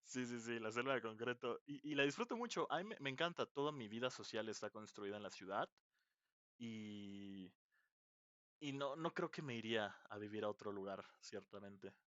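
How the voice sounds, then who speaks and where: A man's voice: lowish voice, expressive, normal speed, fairly bright tone, dry recording. A young man from Mexico, a podcast host and indie game developer, chatting casually and laughing often. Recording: dull lo-fi mic, dry.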